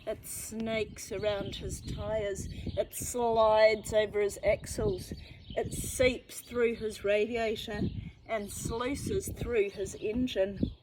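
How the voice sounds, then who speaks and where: A woman reading a children's story aloud expressively, with deliberate, dramatic phrasing. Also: medium pitch, steady tone, noisy background. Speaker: storybook reader.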